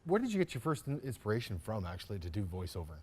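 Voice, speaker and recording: A man's voice: lowish voice, big pitch swings, quick pace, a little mellow, slightly rough. Man asking hesitant interview questions. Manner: brief affirmations, hesitant questions. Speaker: student interviewer.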